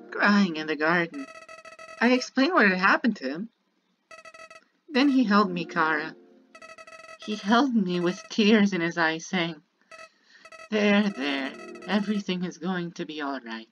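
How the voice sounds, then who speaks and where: A woman's voice: fairly low pitch, expressive, unhurried, pauses often, fairly bright tone, lo-fi sound, faint music behind. A woman voicing a small, menacing talking flower from a game, theatrical and mocking, swinging between cutesy and cruel. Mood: sorrowful. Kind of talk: dramatic villain monologue from a game.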